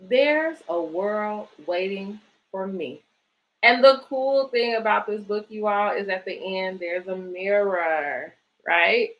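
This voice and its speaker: A woman's voice: medium pitch, slow pace, highs cut off. Woman hosting children's storytime. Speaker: host of a children's bedtime story series, book organisation director. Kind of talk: reading picture books aloud to families, with chatty commentary.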